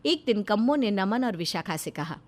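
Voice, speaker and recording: A woman's voice: medium pitch, lively intonation, normal speed. A woman narrating a scripted moral story as a storyteller.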